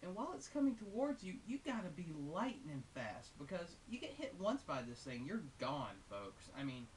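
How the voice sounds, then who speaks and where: A man's voice: sits high, huge pitch swings, moderate pace. Rambling male game reviewer over hiss. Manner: rambling, hesitant, amused, enthusiastic. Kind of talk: casual unscripted game review. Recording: hissy, noisy home recording.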